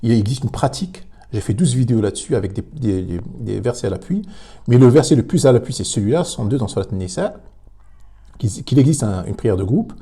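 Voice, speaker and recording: A man commenting on a religious debate, emphatic but halting, with many repeated words and fillers. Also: medium pitch, lively intonation, dark tone, breathy edge. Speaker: commentator reacting to a clip.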